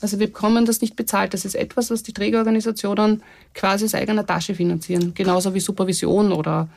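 A woman with an Austrian accent speaking as a care organization representative in a podcast discussion, thoughtful, with many pauses to rephrase. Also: medium pitch, steady tone, vocal fry, a little mellow. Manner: thoughtful, many fillers and restarts.

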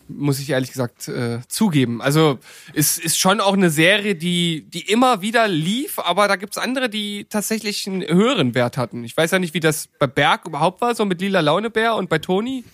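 A man's voice: high voice, expressive, brisk, a bit bright, no echo, studio quality. A man co-hosting a podcast, talking in a casual conversational style. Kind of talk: casual chat.

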